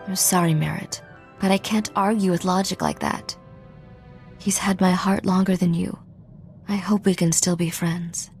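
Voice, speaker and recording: A woman's voice: on the low side, fairly even intonation, moderate pace, darkish tone, faint music behind, close to an everyday voice. An actress playing a sly, sarcastic young woman smuggler and royal heir in a video game, wry, teasing and self-assured. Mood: gentle, resolute. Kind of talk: scripted video game dialogue.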